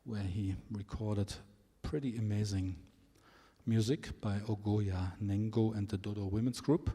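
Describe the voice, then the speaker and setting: Slow, mellow male moderator. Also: lowish voice, steady tone, husky. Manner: hesitant, with frequent fillers. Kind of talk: panel introduction. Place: large exhibition hall. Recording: long hall reverb.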